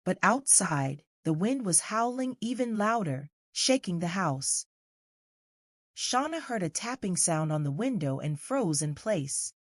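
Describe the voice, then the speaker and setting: Woman, storybook narrator, scripted children's read-aloud, slightly low pitch, dry studio-like sound. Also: sing-song, a little slow, no room sound.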